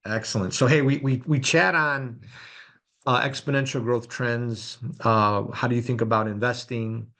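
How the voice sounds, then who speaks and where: A man's voice: medium pitch, steady tone, normal speed, a bit bright. A man hosting a podcast, reading sponsor thanks and introducing his guest in a loose, filler-heavy way. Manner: loose, many fillers and restarts. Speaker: podcast host.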